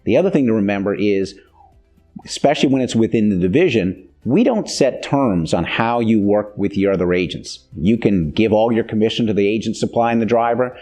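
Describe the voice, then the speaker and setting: A man's voice: fairly low pitch, animated, brisk, darkish tone, faint music behind. An American man in his fifties giving a plain-spoken, instructional explanation as the host of a training video. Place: indoor video studio.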